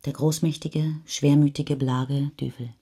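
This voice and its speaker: A woman with a husky voice narrating literary prose for a radio feature. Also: deep voice, level tone, unhurried, mellow.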